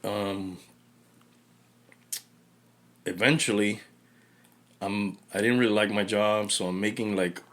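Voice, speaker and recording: A man's voice: fairly low pitch, fairly even intonation, slow, long pauses, a bit bright. A man in his thirties from Cuba, raised in Miami, chatting casually as a podcast guest, laid-back and hesitant with lots of fillers. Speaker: podcast guest, small business owner. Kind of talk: casual conversation.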